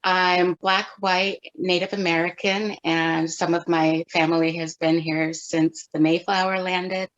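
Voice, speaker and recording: A middle-aged woman from California, a photographer answering interview questions in a thoughtful, measured way with pauses. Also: fairly low pitch, level tone, a bit bright, dull audio. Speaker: guest photographer.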